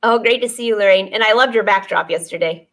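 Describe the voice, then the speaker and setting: A woman's voice: mid-range voice, fast talker, a bit bright. A woman in her forties, a sewing teacher chatting casually on a live stream, reading viewer comments aloud and laughing often. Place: sewing studio with dress forms, indoors.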